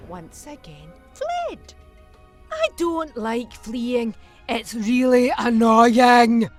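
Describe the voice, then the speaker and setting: A woman acts out a gleefully murderous alien villainess delivering an audio drama monologue. Her voice is high and swings dramatically up and down. She is arch and flippant, leaning on words with theatrical relish, over faint music. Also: fairly bright tone, heavily acted. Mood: flippant, exasperated. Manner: arch, flippant, dramatic stresses, sudden swoops and drops.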